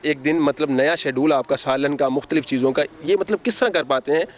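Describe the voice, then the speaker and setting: A man with a Pakistani accent doing a street interview, speaking in a halting, informal way as the reporter. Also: a little high. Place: outdoors on a city street. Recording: outdoor street noise, passing vehicles.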